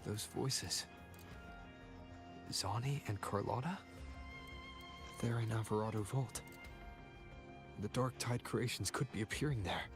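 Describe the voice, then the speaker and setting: A serious, determined hero in a video game. His voice is on the low side and his lines are terse, with clear music behind them. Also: unhurried, long pauses. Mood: resolute.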